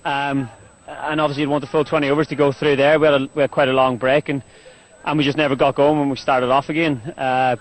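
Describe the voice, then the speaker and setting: Quick-talking man, halting answers. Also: mid-range voice, flat delivery, low-quality recording. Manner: casual, halting, many fillers and restarts. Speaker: losing team captain. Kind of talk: post-match interview answers.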